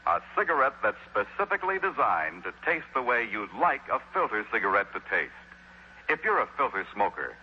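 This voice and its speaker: An American man delivering a 1960s radio advertisement as a commercial announcer. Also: mid-range voice. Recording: dull, faintly noisy old radio recording.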